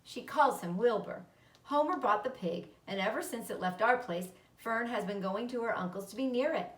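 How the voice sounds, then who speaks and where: A fretful storybook mother, acted out by a woman reader, anxious and full of questions. Her voice sits fairly high and comes from a little way off the mic in an echoey room. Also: moderate pace, close to an everyday voice. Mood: worried. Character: worried, nervous mother of a young girl. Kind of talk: children's book read-aloud. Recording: roomy echo, distant microphone.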